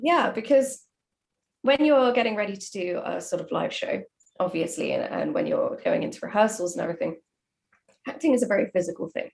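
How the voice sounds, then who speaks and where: A woman's voice: medium pitch, lively intonation, a little fast, vocal fry, darkish tone. A woman chatting casually as a writer and co-host, asking questions with nerdy enthusiasm. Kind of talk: casual chat.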